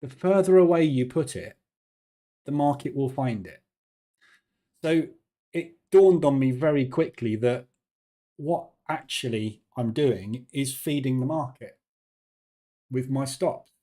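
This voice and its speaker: A man's voice: medium pitch, expressive, takes it slowly, pauses often, dark tone. A man hosting a live trading stream, talking casually and explaining things plainly, often checking in with "yeah.". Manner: chatty, explains plainly with "yeah" tag questions.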